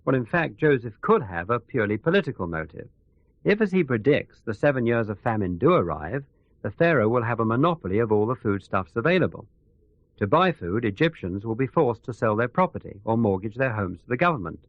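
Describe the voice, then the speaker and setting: Male actor for economics expert, radio news drama. Analytical, doubting, mid-pitched with expressive swings, dry and a little muffled. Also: fairly quick, no room sound, close to an everyday voice. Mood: sceptical, analytical. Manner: considered, explanatory. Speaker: expert commentator.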